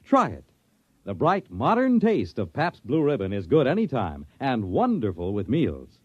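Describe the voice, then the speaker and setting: A man's voice: mid-range voice, wildly swooping pitch, unhurried, breathy edge, dry recording. A man announcing in the dramatic, scripted style of 1950s radio. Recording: muffled old radio broadcast with music.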